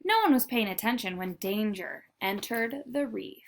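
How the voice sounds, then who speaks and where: A woman narrating a children's picture book in an expressive, deliberate storytelling manner. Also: a little high, a little hiss or hum. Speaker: read-aloud storyteller.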